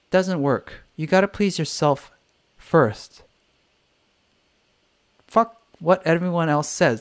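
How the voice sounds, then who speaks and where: Candid, swearing man on a livestream. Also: a little high. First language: English. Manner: candid, swears freely, acts out voices of others. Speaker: singer-songwriter. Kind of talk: live stream chat.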